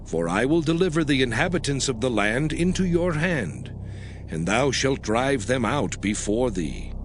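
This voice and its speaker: An actor playing a solemn biblical figure who proclaims law in a deliberate, declamatory dramatized scripture style. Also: male voice, medium pitch, big pitch swings, slight background noise. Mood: stern, solemn. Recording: music behind the voice. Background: background music.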